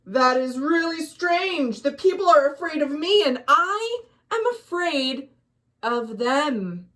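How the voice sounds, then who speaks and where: Storybook monster played by a woman: huge, timid, gentle ghoul, slow halting delivery, high for a monster. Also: expressive, exaggerated voice. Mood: puzzled. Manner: trembling, slow and halting, earnest. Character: huge timid one-eyed male ghoul, fearful but gentle. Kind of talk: children's storybook character voice.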